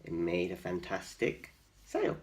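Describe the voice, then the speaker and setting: A man tells a children's story aloud at an unhurried pace. His pitch moves widely and expressively as he narrates and adds little explanatory asides. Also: huge pitch swings.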